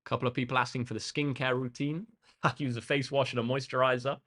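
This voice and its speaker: This is a young man from England who runs a maths livestream. He answers viewers' comments in a relaxed, friendly way, often pausing with um and uh. His voice sits at a middle pitch for a man, and he is talking indoors in a room with a big window. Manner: relaxed and chatty, with frequent ums and uhs. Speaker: maths tutor hosting a livestream. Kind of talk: casual chat answering live comments.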